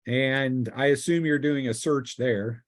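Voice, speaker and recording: A man narrating a tabletop game as game master, improvising descriptions haltingly and thinking aloud. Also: medium pitch.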